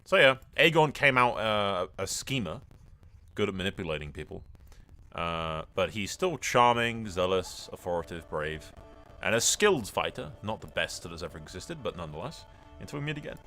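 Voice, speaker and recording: A man's voice: low voice, sing-song, normal speed, bright, forceful tone, faint music behind. A man doing casual let's-play commentary, sweary and blunt, slipping between exclamations and in-character roleplay narration. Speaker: gaming video host.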